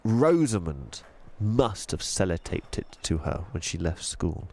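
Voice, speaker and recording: A man narrating a children's audiobook in an expressive storytelling style. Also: low voice, measured pace.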